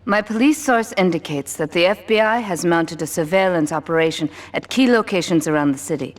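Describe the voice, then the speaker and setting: A woman plays a sly Yakuza boss in a crime video game. Her voice sits low, and she gives orders with cool, formal control and an edge of danger. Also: brisk, natural voice. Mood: urgent, commanding.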